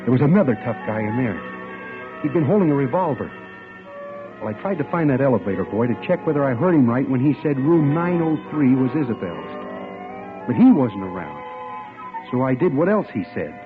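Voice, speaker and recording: A man's voice: mid-range voice, sing-song, brisk, close to an everyday voice. A man playing a flustered bank clerk narrating. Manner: polite, flustered, stammering. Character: earnest male bank clerk hunting his missing fiancée. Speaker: narrator and lead. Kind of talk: 1940s radio acting. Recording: tinny old radio broadcast with music.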